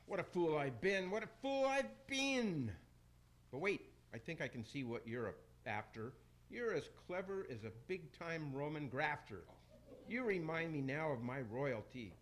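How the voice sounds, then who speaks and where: Vain theatrical tyrant's ghost from hell, played by a man, declaiming radio-play rhymes with big pitch swings. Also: a bit bright. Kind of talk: amateur radio play acting.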